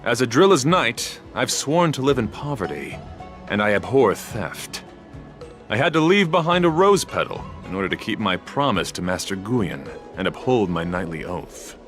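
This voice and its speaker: A man's voice: fairly low pitch, sing-song, moderate pace, a bit bright, soft background music. A man playing a chivalrous young knight in a video game, speaking in courtly, flowery, formal phrases with earnest devotion. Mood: courteous, calm. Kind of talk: theatrical video game voice acting.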